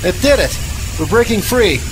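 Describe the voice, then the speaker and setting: A man's voice: high-pitched, big pitch swings, normal speed, background noise. A man playing a stubborn, decisive spaceship pilot in a science fiction audio drama, speaking in an urgent, commanding way. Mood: urgent, relieved.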